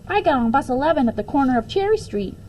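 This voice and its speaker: A woman voicing eager, excitable second-grade children piping up in a storybook read-aloud. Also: high-pitched, fast, lo-fi sound, a little hiss or hum, pitched above the performer's own voice. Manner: piping up, calling out.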